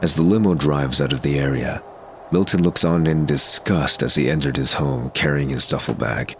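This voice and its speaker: A man's voice: extremely low pitch, moderate pace, slight background noise. A man reading scripted narration for an audio drama, describing the action as narrator.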